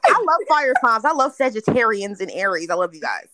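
A woman's voice: fairly high pitch, very animated, fairly quick, background noise. A woman from North Carolina telling a candid story in a casual chat with friends, laughing often. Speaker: podcast host.

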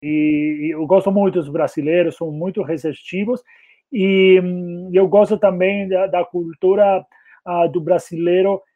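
Male podcast guest, Argentine accent, native Spanish speaker, fairly high voice, thin phone-like audio. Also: steady tone, measured pace, dark tone, no echo. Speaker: podcast guest, community marketing specialist. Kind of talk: casual interview talk.

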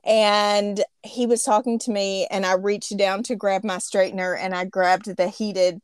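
A woman's voice: medium pitch, level tone, measured pace, clean tone, dry recording, clean studio sound. A woman in her thirties hosting a podcast, telling a rambling, chatty story. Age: 30s. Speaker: podcast host. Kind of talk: casual chat.

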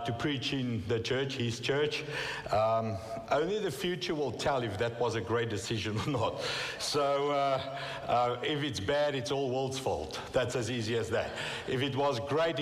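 A man from South Africa, Afrikaans his first language, preaching a sermon as a guest pastor in a South African accent, telling stories with self-deprecating humor. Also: mid-range voice. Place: church. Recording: long reverb in a church hall.

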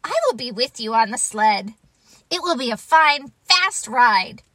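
A small, friendly male frog from a children's storybook, voiced by a woman. He sounds high and lively, gentle and eager to encourage his friend. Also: unhurried, dry recording, heavily acted. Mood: encouraging.

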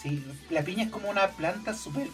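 A man's voice: high-pitched, brisk, loud music behind the voice. A Chilean man co-hosting a podcast, teasing the guest in playful banter and laughing often. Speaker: podcast co-host.

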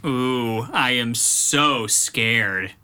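Actor as sharp-edged, snide teenage guy. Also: mid-range voice, drawn-out words, clean tone, exaggerated voice. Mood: grudging, teasing. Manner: mocking, blunt. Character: snide, grudging teenage male cousin. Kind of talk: audio drama acting.

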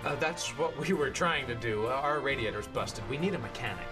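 A man's voice: mid-range voice, lively intonation, brisk, over music, lightly acted. An actor playing an anxious, hesitant husband stranded with his car in an audio drama. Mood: hesitant, placating. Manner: hesitant, placating.

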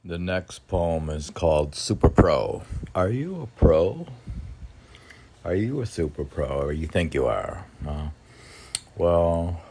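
A man with an extremely low, gravelly voice gives an informal poetry reading. He takes his time and wanders into halting asides, musing aloud and putting questions to himself. There is faint noise behind him. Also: big pitch swings, darkish tone. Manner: meandering, halting, muses aloud with rhetorical questions. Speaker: poet reading own poems.

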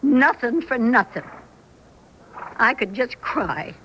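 High-voiced skeptical frontier wife. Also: female voice, sing-song, takes it slowly, fairly bright tone, lo-fi sound, full character voice. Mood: despairing. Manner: incredulous, questioning. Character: skeptical, plain-spoken frontier homesteader wife. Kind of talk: old-fashioned Western radio drama acting. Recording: noisy 1970s radio drama broadcast.

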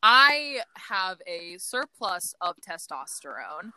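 A woman's voice: fairly low pitch, very animated, slow pace, bright, forceful tone, smooth, poor audio. A young woman co-hosting a podcast, talking frankly and rambling, with lots of fillers. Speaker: podcast co-host. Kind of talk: casual chat.

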